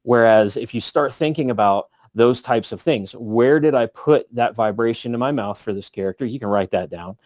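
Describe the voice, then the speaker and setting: A man's voice: mid-range voice, normal speed, hardly any pauses, a little mellow, no room sound. A man giving a casual instructional talk as a tutor, thinking aloud as he explains. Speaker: voice tutor for tabletop gamers.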